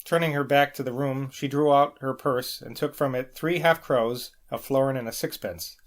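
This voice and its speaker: A man's voice: mid-range voice, steady tone, normal speed. Man reading a literary novel aloud. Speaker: audiobook reader. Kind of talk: scripted literary narration, novel read aloud.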